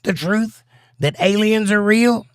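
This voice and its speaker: A slightly hoarse, scratchy-voiced man hosts a laid-back livestream, reading chat messages aloud and riffing on them with goofy asides. His voice is dry and close, with no room around it. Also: sits high, slow pace, no echo. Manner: jokey, reads viewer comments aloud, breaks into silly bits.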